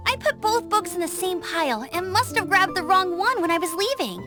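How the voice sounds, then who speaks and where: A woman's voice: very high voice, lively intonation, brisk. An actress playing a cheerful young female outrider who talks in an upbeat, encouraging and slightly flustered way, with game music behind her. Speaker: outrider and gliding instructor.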